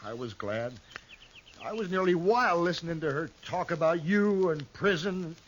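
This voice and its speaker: A man's voice: fairly high pitch, animated, normal speed, lo-fi sound, close to an everyday voice. A man playing a frightened, stammering young outlaw. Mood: shaken. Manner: stammering, pleading. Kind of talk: 1950s radio drama acting. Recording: old radio broadcast with hiss.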